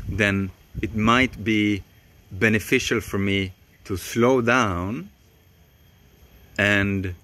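A young man whose first language is Swedish, teaching voice technique to the camera in a slow, calm and deliberate way with long pauses, outdoors in a tropical garden. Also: lowish voice. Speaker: voice coach.

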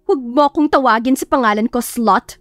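Woman voicing a jealous, raging young woman, high-pitched. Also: fairly quick, a bit bright, dry recording, soft background music, lightly acted. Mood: furious, accusing. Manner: accusing, confrontational. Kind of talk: dramatised audiobook dialogue.

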